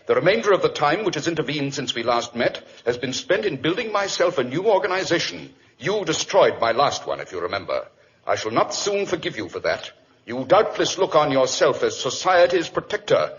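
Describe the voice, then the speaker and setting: Sardonic british detective, crisp and theatrical, old radio drama, hissy noisy recording. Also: male voice, on the high side, very animated, brisk, fairly bright tone, highs cut off. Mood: dramatic reading. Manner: crisp, theatrical, dryly condescending. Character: keen, sardonic, imperious male Victorian consulting detective. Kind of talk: 1940s radio acting.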